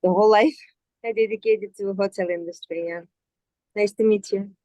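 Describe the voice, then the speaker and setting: A woman from Russia, raised in the United States, introducing herself with some hesitation and a warm manner. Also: medium pitch, steady tone, normal speed, no echo. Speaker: guest, hospitality worker. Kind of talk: self-introduction.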